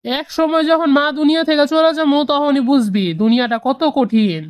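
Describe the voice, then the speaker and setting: A worried mother from a cartoon scolds in a high voice, acted by a woman. She nags in colloquial Bangladeshi, recorded close and dry. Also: fairly quick, dry recording, faint music behind, close to an everyday voice. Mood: reproachful. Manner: nagging, admonishing. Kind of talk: cartoon dialogue.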